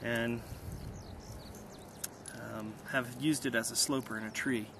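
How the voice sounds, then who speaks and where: A man's voice: mid-range voice, fairly even intonation, slow, background noise. A man speaking casually outdoors as an amateur radio operator, narrating and making calls with hesitant fillers. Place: outdoors on a hillside.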